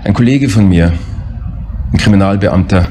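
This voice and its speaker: An actor playing a sober police detective in a crime drama, matter-of-fact and deliberate. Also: male voice, low voice, mellow, lightly acted. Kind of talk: German crime drama acting.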